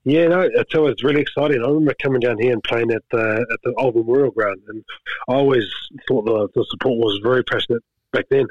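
A middle-aged man, chatting as a guest in a relaxed way, often repeating words as he gathers his thoughts. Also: mid-range voice, quick pace, dry recording. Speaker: guest, rugby skills coach. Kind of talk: casual interview chat. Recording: slightly dull lo-fi sound.

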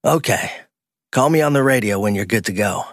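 A man's voice: fairly low pitch, animated, fairly quick, no room sound, clean studio sound. A man voicing a puzzled, businesslike older male site worker in an audiobook. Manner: perplexed, questioning. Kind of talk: acted audiobook dialogue.

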